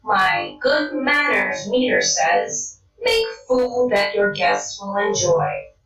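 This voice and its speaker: A woman acts out a friendly, literal-minded robot from a children's storybook. The speech is slow and formal, yet the pitch moves up and down as it politely explains. The room echoes slightly. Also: very animated, recorded from a distance, over music. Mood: polite, apologetic. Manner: formal, measured, precise. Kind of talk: children's read-aloud character voice.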